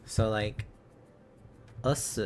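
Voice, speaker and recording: A man hosting an informal language-learning livestream, thinking aloud in a halting, casual, self-correcting way. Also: lowish voice, steady tone, soft background music. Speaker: livestream host learning Korean along with viewers.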